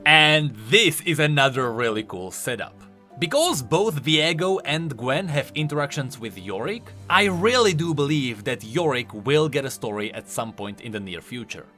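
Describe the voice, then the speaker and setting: Bright, very animated male game lore commentator. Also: medium pitch, normal speed. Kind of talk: explanatory commentary voice-over. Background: faint music.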